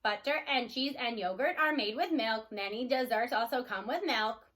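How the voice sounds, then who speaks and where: A woman voicing a gentle, patient female robot in a storybook, speaking in a formal, measured manner. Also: fairly high pitch, lightly acted. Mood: explaining.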